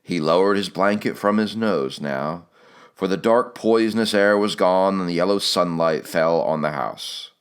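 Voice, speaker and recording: A man reading literary fiction as an audiobook narrator, in a measured, expressive way with phrases that soften at their ends. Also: low-pitched, clear, resonant tone.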